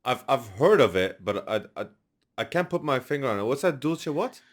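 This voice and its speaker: A man hosting a podcast tells a story in a chatty, dryly amused way. His voice sits at an everyday pitch, and he talks casually with his guest. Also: sing-song, moderate pace. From Netherlands. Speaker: podcast host.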